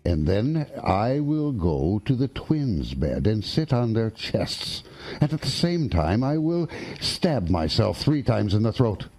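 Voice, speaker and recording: Pompous, swooping old ghost knight. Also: male voice, lowish voice, big pitch swings, takes it slowly, darkish tone, husky, heavily acted. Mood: conspiratorial. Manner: pompous, blustering, theatrical. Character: pompous centuries-old male English ghost knight, easily outraged. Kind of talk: radio drama acting.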